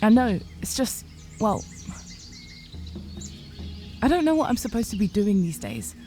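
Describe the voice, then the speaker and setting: A woman's voice: medium pitch, wildly swooping pitch, a little slow, dark tone, faint music behind. A woman playing an earnest, conflicted young rabbit healer's apprentice in a fantasy video game. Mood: weary, doubtful. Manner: earnest, troubled, guarded. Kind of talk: dramatic video game dialogue.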